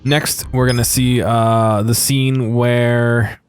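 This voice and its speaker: A man giving casual, unscripted video game commentary in a hesitant, halting way. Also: medium pitch, flat delivery, soft background music.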